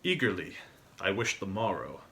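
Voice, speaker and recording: A man narrating a classic poem as a dramatic gothic recitation, theatrical and swinging between hushed and loud delivery. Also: low voice, sing-song, moderate pace.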